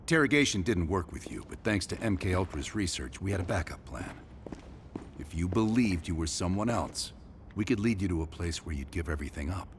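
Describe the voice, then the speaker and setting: A man acting as a hard, coercive CIA operative in a video game, speaking in a measured, deliberate and intense way. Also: sits low, lively intonation, slight background noise. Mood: cold, explanatory. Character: hard, coercive male CIA operative leading a covert team.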